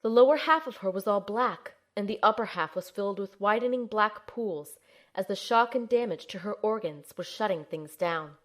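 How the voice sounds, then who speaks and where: A woman's voice: mid-range voice, close to an everyday voice. A woman playing an earnest young healer in an audio drama, telling and acting out her own ordeal with soothing, emotionally involved delivery. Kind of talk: amateur audio drama, first-person narration and dialogue.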